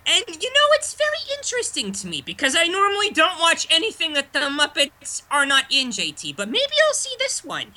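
A performer voicing a childish, self-important male Muppet fan being interviewed, talking in a deadpan way and repeating the host's name. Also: extremely high pitch, a little fast, few pauses, exaggerated voice. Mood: chatty. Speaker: interview guest.

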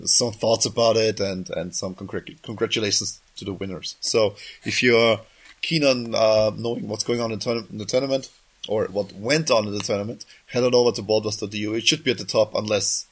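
A man's voice: lowish voice, steady tone, fairly quick, breathy edge. A man hosting a casual podcast while sick, coughing now and then, his voice just back, rambling and often correcting himself mid-sentence. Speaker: podcast host.

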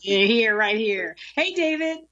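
A woman hosting a radio talk show, chatting in a lively, animated way with many restarts. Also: on the high side, a little slow. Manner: lively, animated, laughs easily, restarts often. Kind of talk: casual radio chat. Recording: dull lo-fi sound.

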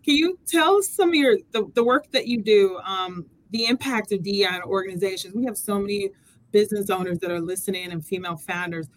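A woman's voice: mid-range voice, brisk, poor audio. A woman from Texas hosting an interview, curious and asking long winding questions. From Texas, USA. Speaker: podcast host. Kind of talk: casual interview talk.

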